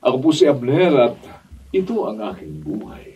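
A man's voice: medium pitch, wildly swooping pitch, moderate pace. A middle-aged Filipino man acting a blunt, protective father in a radio drama. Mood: reflective. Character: blunt, protective father, later conciliatory, narrating his story. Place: indoor radio drama recording studio. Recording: distant camera mic, dull, noisy studio.

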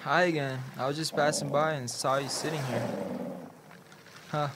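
A man playing a polite, helpful, casual stranger in an amateur radio play, with a measured, courteous delivery. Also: a little high, fairly even intonation, lightly acted. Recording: noisy radio play, clear background ambience.

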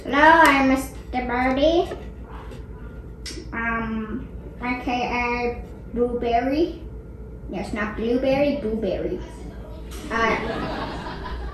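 Woman as a sheepish little bird, echoey room. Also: a little high. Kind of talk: amateur puppet show comedy. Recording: echoey room with loud noise.

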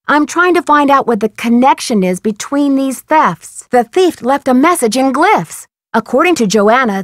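Actress as high-voiced, earnest young woman detective. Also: no room sound, clean studio sound, lightly acted. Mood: serious, intrigued. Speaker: voice actress in a detective adventure game. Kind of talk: scripted game dialogue.

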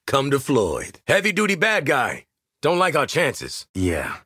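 A man's voice: mid-range voice, wildly swooping pitch, measured pace, pushed and bright, breathy edge, dry recording, studio quality, full character voice. An American man voicing a tough, wisecracking assassin in a video game, with a streetwise, slangy, sardonic delivery. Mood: sardonic, cool. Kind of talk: video game voice lines, combat barks.

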